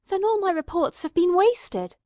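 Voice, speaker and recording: A woman voicing a detective's earnest, loyal male companion in an audiobook, speaking in a fervent, candid, excitable way. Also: very high-pitched, normal speed, no room sound, clean studio sound, pitched above the performer's own voice. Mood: hurt, reproachful. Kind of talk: audiobook character dialogue.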